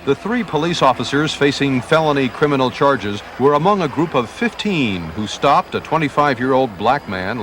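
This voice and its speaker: A man's voice: medium pitch, a bit bright, a little hiss or hum. A man narrating a scripted news report as a reporter.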